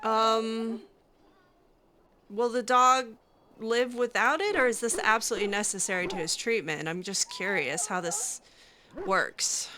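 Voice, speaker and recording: A woman's voice: a little high, measured pace, crowd noise. A woman improvising in character during a video game playthrough, chatty and hesitant with a cutesy touch. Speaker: roleplay gamer voicing her character.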